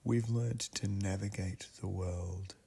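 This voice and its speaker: A man with a husky, gravelly voice narrating a guided meditation ritual in a solemn, deliberate way, leaving long pauses between short phrases. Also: low voice, steady tone, dark tone.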